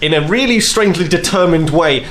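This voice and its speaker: A man's voice: a little high, expressive, a little fast, fairly bright tone, slight background noise. A man playing a tabletop game, playfully describing his character's actions. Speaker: player.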